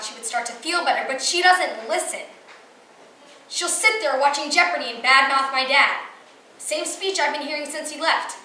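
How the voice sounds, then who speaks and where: A girl acting a high-voiced, vulnerable teenage girl. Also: bright, forceful tone. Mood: frustrated, bitter. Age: young teenager. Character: frustrated, vulnerable teenage girl confiding in her friend. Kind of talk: stage monologue performance. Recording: roomy, distant, noisy live recording.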